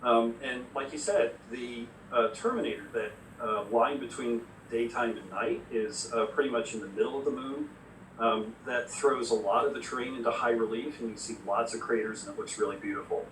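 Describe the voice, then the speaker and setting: A man explaining science as a specialist guest in a live interview, in a measured, hesitant way. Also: mid-range voice, steady tone, fairly bright tone. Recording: echoey, distant mic, faint noise.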